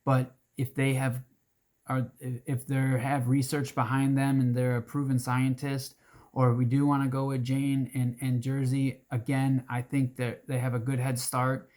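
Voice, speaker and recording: A hoarse man speaking earnestly to his group as its organizer, with frequent restarts and throat-clearing. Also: medium pitch, monotone, measured pace, darkish tone. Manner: earnest, rambling with restarts and fillers. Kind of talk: casual direct-to-camera talk.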